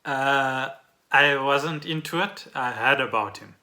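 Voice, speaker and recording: A man's voice: medium pitch, takes it slowly, sharp tone. A middle-aged South African man co-hosting a podcast, chatting casually and asking questions. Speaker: podcast co-host.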